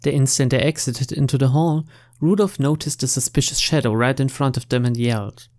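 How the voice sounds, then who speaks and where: A man's voice: mid-range voice, fairly quick, darkish tone. Man narrating a visual novel. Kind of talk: reading game text aloud. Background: game music.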